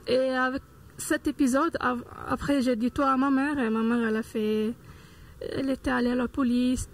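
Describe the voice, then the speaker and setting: A woman's voice: sits high, fairly even intonation. A woman from Morocco who speaks with hesitations, telling a personal story in a street interview. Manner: halting, searching for words.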